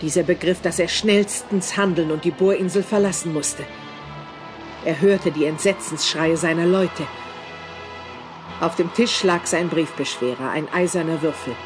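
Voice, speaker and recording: A man's voice: fairly high pitch, moderate pace. A man reading scripted, dramatic narration for a German horror audio drama. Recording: music behind the voice.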